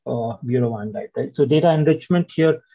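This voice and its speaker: Male presenter on a webinar call, warm tone, muffled call audio, lots of "uh"s. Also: medium pitch, brisk. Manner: frequent "uh" and "right?" tags. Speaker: senior product manager presenting. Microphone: video call.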